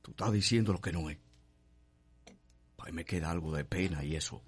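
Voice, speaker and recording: A brooding young enslaved man of a radio soap answers defensively. His low, husky voice has a Cuban accent and a terse delivery. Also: normal speed. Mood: sullen denial. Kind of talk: radio soap opera acting.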